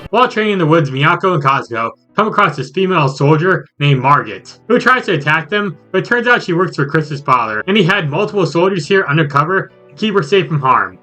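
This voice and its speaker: Male recap narrator, casual and sarcastic, bright tone, highly animated delivery, light music bed. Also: brisk, hardly any pauses. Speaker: video game reviewer. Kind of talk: scripted recap narration with joking asides.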